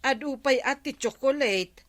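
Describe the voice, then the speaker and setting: A woman's voice: sits high, measured pace. A woman playing a chatty, gossipy village storekeeper with an Ilocano accent in a radio comedy drama.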